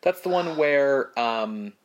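Male co-host, mid-pitched, relaxed delivery of prepared film trivia. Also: takes it slowly, lo-fi sound. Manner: reads prepared facts, relaxed. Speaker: podcast co-host. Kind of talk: casual film history chat.

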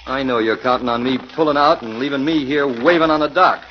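An actor playing a tough, suspicious gangster who talks in slang, in a 1940s radio drama. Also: male voice, medium pitch, a little fast, natural voice. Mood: gruff. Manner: tough-guy slang, gruff. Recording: muffled 1940s radio recording with faint noise.

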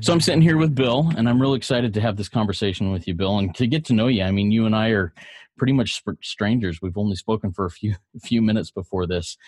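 A man's voice: on the low side, quick pace, darkish tone. A man hosting a podcast, reading an earnest scripted intro and then interviewing a guest with encouragement.

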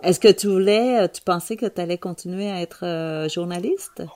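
Woman host, lower pitch, encouraging interviewer, narrates links. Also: normal speed, darkish tone.

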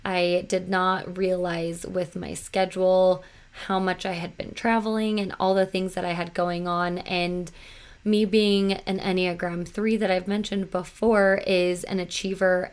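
A woman in her thirties hosting her podcast, slightly hoarse after losing her voice, talking in a chatty, earnest and self-reflective way. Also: lowish voice, level tone, measured pace. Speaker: podcast host. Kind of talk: solo podcast talk.

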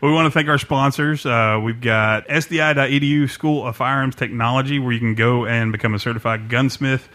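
A man's voice: medium pitch, fairly even intonation, a little fast, hardly any pauses, fairly bright tone. A man hosting a podcast, chatting casually, telling stories with plenty of laughs and jokes. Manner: laughs easily, jokey storytelling. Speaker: podcast host. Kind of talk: casual chat.

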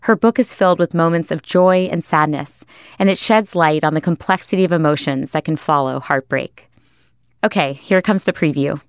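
A woman's voice: sits low, normal speed, smooth, no room sound, studio quality. A woman podcast host reading a scripted introduction.

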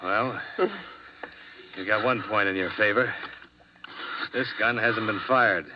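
A low-voiced, unflappable insurance investigator, talking in firm clipped lines. The sound is muffled and lo-fi, like an old radio broadcast. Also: male voice, slow, noisy background. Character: tough steady male insurance investigator. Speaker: narrator and lead detective. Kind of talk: 1950s radio drama acting.

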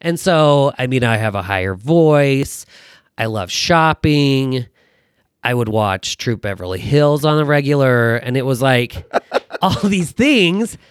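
An American man in his thirties chatting casually as a podcast guest, telling personal stories in an animated, self-deprecating way. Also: medium pitch, takes it slowly, clean tone, no echo, clean studio sound. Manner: animated, self-deprecating joking with acted-out quotes. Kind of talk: casual chat, personal storytelling.

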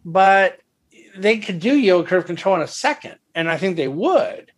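A man with a slightly higher pitched voice talks through markets on a conference call. He thinks aloud, hedges his views and often restarts sentences. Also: very animated, normal speed, slightly rough. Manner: thoughtful, hedging, many restarts. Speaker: investment firm head and market commentator. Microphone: phone or conference call line.

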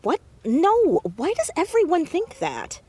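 Sharp, animated teenage girl detective. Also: very high-pitched, slow pace, exaggerated voice. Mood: defensive, teasing. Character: sharp, nosy fourteen-year-old girl amateur detective in a small town. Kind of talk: naturalistic audio drama acting.

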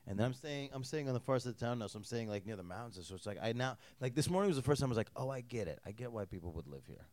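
A man's voice: medium pitch, lively intonation, rapid-fire, a little mellow, dry recording. A man hosting a podcast in casual interview chat, rambling loosely with many restarts and casual swearing. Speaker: podcast host. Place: hotel venue at a convention in Las Vegas.

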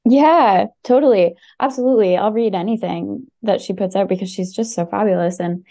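A woman's voice: medium pitch, brisk, dark tone. A woman chatting casually and enthusiastically on a podcast, with many fillers and restarts. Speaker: podcast guest recommending books. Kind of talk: casual chat.

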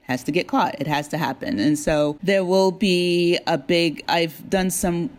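A woman being interviewed as a drama creator, talking casually with an animated, enthusiastic manner. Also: lowish voice, unhurried.

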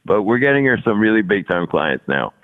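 A man's voice: fairly low pitch, big pitch swings, a little fast. A man in his sixties, a podcast guest talking casually and at length, often repeating words and laughing between thoughts. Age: 60s. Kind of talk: casual interview conversation. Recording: tinny phone-like line.

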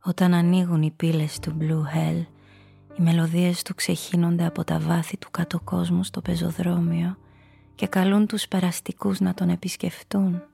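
An actress playing a cynical, seductive femme fatale, confessing her story in an intimate, breathy way in a noir radio play. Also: lowish voice, little pitch movement, mellow, soft background music. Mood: sultry, self-assured. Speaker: narrator and lead character.

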